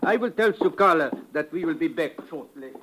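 A man's voice: fairly high pitch, expressive, brisk, fairly bright tone, poor audio. A man playing an older Dutch plantation owner in a 1950s radio drama, formal and stiffly courteous. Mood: formal courtesy.

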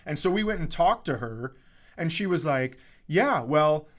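A man's voice: fairly high pitch, lively intonation, measured pace, fairly bright tone. A man co-hosting a podcast, telling stories in a casual, blunt and sardonic comic manner. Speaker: podcast co-host.

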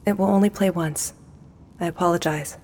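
A woman's voice: on the low side, steady tone, moderate pace, a little mellow, slight background noise, close to an everyday voice. A woman voicing a courteous, composed, apologetic virtual assistant in an audio drama. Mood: polite, composed. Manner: courteous, neutral.

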